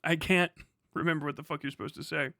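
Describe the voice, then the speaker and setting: Tired guy livestreaming, bright kinda high voice, rambling and sarcastic, swears a lot. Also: steady tone, fast, vocal fry, no room sound, studio quality. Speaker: livestream host. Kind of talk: casual livestream chat with joking rants.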